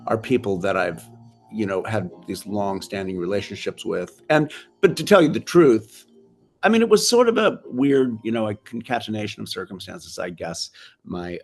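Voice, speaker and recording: Gravelly-voiced older American man, rambling. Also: deep voice. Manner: rambling, restarts sentences often. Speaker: film director guest. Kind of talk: casual interview chat.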